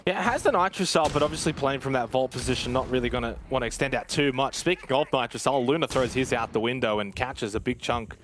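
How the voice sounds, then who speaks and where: A man casting a live esports match, breaking down plays and getting louder on big moments. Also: medium pitch, quick pace, hardly any pauses, slight background noise. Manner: analytical, rising excitement on big plays. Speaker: esports caster.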